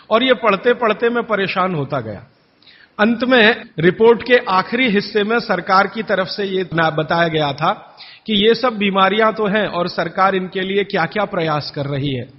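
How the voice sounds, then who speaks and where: A man giving a public lecture, explaining figures methodically and listing point after point. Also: sits high. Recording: long hall reverb, dull muffled sound.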